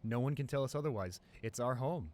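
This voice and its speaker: A man playing an excited young college boyfriend in an improvised ASMR roleplay, gushing and halting, repeating himself in sentimental amazement. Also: medium pitch, natural voice. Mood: amazed.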